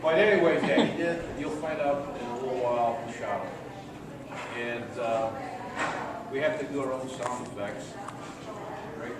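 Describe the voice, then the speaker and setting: A man's voice: medium pitch, normal speed, long pauses, far from the mic. An older man hosting an amateur radio play, chatting informally and in a rambling way to an audience. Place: large echoey room before a live audience. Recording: very echoey noisy hall, off mic.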